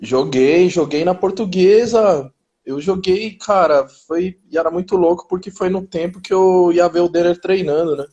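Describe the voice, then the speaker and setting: Interview guest, forties, Chilean-born man, slightly high voice, easygoing street talk. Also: moderate pace, lo-fi sound. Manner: relaxed, street slang, frequent restarts. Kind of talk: casual interview chat.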